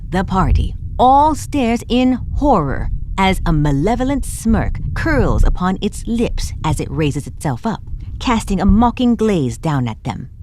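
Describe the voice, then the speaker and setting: A woman's voice: mid-range voice, darkish tone, slight background noise. A woman narrating a tabletop fantasy adventure as game master, in dramatic scripted storytelling style. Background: music.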